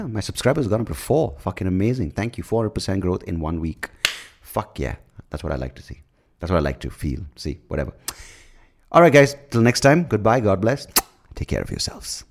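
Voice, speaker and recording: A man hosting his own podcast, talking alone in a blunt, profane, sarcastic way, rambling through asides and jokes. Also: low-pitched. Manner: breaks into mocking shouts and laughs at his own jokes. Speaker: podcast host. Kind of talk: casual solo podcast monologue.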